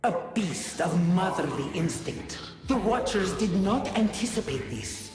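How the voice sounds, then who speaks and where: A regal game villainess from the void, her low voice drenched in long reverb. She is cold, seductive and menacing. Every phrase is solemn and commanding, as if handed down from an alien throne. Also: lively intonation, a little slow. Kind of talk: video game character voice lines. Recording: game audio, cavernous reverb, music behind.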